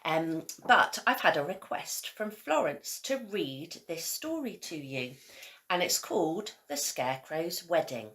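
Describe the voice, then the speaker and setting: Slow lowish-voiced lady teacher reading a kids story out loud, expressive. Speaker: primary school teacher reading to pupils. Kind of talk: children's storybook read-aloud.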